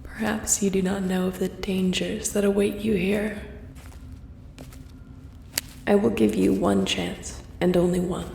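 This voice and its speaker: A woman voicing a flirtatious, predatory vampire lady in an intimate audio roleplay, teasing and haughty, often sinking into a breathy whisper. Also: medium pitch, little pitch movement, a little slow, pauses often, darkish tone, a little hiss or hum.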